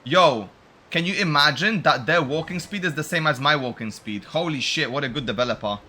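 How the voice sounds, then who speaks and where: A man's voice: fairly high pitch, fairly quick, bright, forceful tone. A man doing casual, slangy game-streamer commentary, blunt and given to swearing as he reacts to story scenes. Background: game music.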